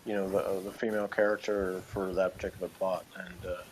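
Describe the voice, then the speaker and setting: A man's voice: low voice, little pitch movement, quick pace, poor audio. Hesitant man in casual chat, faint noise. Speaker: co-commentator.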